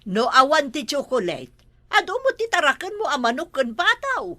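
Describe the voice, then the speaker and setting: A woman's voice: high voice, big pitch swings, moderate pace, bright, forceful tone. A middle-aged woman with an Ilocano accent, playing a mother in a comedy drama, scolding and advising.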